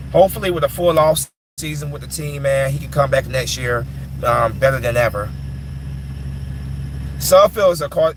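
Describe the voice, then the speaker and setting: A man's voice: mid-range voice, noisy background. A man in his twenties answering chat questions in casual talk, often repeating and restarting his words. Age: 20s. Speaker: live stream host answering chat.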